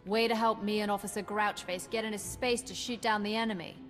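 A woman's voice: medium pitch, fairly even intonation, fairly quick, natural voice. A woman playing a cheeky, irreverent young pilot in a video game, casual and sarcastic. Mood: teasing. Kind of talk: video game cutscene acting. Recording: loud music behind.

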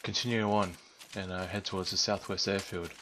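Male game narrator, low-pitched, hesitant storytelling, light noise on the recording. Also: fairly even intonation, a bit bright. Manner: halting, hesitant. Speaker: narrator, gamer. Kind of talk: unscripted storytelling commentary over game footage.

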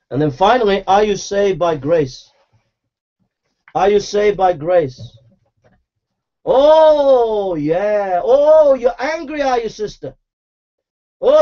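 A man's voice: high-pitched, darkish tone, highs cut off. A man preaching a fiery, confrontational sermon, scolding his listeners with urgent, repetitive calls to repent.

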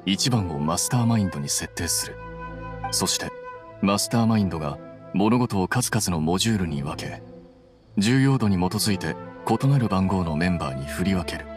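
A man's voice: sits low, animated, moderate pace, over music. An actor playing a cool, analytical young male scholar in a Japanese anime game, explaining things in a composed, precise, matter-of-fact way.

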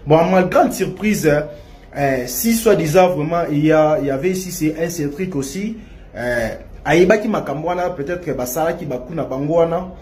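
Halting Congolese man, higher voice. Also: a little mellow. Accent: Congolese French. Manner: halting and repetitive, many restarts and fillers. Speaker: man clearing his name. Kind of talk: video statement.

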